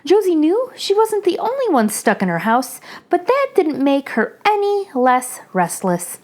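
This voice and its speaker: High-pitched, lively woman reading a children's story. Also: a little slow. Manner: animated storytelling. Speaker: children's story reader, channel host. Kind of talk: expressive read-aloud of a children's picture book.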